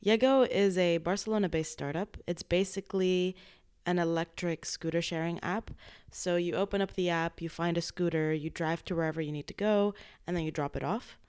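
A woman hosting a podcast, introducing the episode and interviewing her guest in a friendly, relaxed way. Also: on the low side, normal speed, clean tone. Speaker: podcast host.

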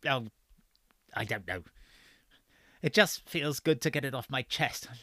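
A man reading the part of a frail, stubborn old man near death, speaking gruffly and insistently. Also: medium pitch, animated, a little slow, pushed and bright, no room sound, studio quality, voice pushed up. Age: older.

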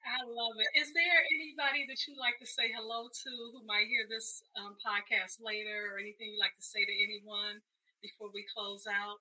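A woman with a somewhat high-pitched voice speaks as a podcast host. She addresses her guests and listeners in the style of a storytelling show. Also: level tone, normal speed, bright, forceful tone, a little hiss or hum, rough recording.

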